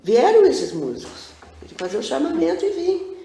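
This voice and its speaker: A woman's voice: high-pitched, normal speed, a little hiss or hum. An older woman, a guest telling rambling stories with many asides in a casual TV interview. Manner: animated, rambling storyteller with frequent asides. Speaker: guest, local cultural figure. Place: TV studio. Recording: roomy studio echo.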